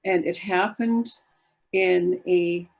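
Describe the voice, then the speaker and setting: Older lady, polish first language, chatty and wry, bit of fry in her voice, video call. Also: lowish voice, steady tone, takes it slowly, muffled recording. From Germany. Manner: wry, self-deprecating, chatty. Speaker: guest speaker. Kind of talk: casual storytelling talk.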